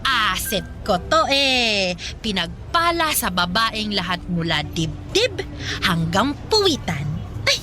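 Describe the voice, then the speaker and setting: A woman's voice: mid-range voice, very animated, slow. An actress playing a brazen, flirty, freeloading middle-aged single mother in a Filipino radio drama, sassy and teasing with coquettish wheedling. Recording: music behind the voice.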